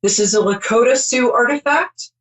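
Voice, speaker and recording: A woman's voice: on the high side, normal speed, fairly bright tone. Chatty, reassuring psychic woman host. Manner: reassuring, chatty, rambling with many ums. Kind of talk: call-in psychic reading.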